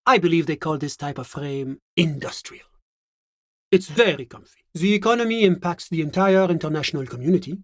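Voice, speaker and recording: Polite government official, fastidious, evasive, formal and measured, slightly high male voice, dry studio sound, video game dialogue. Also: breathy edge, no echo. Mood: polite, genial, then officious, formal. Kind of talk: video game character voice acting.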